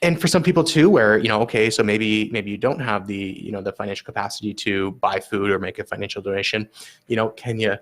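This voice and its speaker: A man with a fairly low voice speaks as a guest about his food bank. He explains things earnestly, stumbling over repeated words and fillers as he goes. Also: fast, a bit bright. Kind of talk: interview answers.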